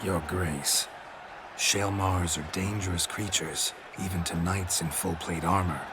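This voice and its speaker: An actor playing a stoic, world-weary monster hunter who talks in laconic, deadpan, clipped sentences in a video game. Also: male voice, low voice, a little slow, noisy background. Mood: dry, cautioning. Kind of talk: video game dramatic acting. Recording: music under the voice.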